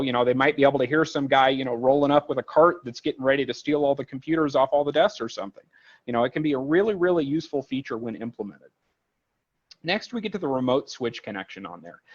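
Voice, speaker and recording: A man with a medium-pitched voice leads a technical product tutorial in a conversational way. He explains things informally, wandering through everyday examples like a trainer at ease with the material. The recording is somewhat dull and lo-fi.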